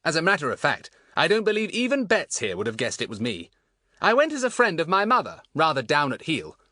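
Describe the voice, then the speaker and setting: A man's voice: a little high, wildly swooping pitch, a little fast, sharp tone, dry recording, clean studio sound, voice pushed up, exaggerated voice. A man voicing a clever, boastful schoolboy in a children's audiobook, chatting with self-satisfied relish. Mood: gleeful, boastful.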